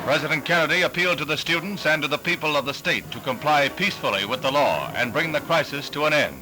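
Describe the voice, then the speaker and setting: A man's voice: a little high, expressive, fast, rough recording. An American man reading scripted 1960s newsreel narration in an emphatic, formal announcer delivery, on a vintage soundtrack. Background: music under the voice.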